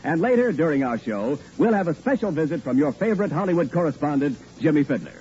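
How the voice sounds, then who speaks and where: A man's voice: a little high, very animated, fast, darkish tone, muffled recording, slight background noise. A man announcing a scripted 1940s radio broadcast.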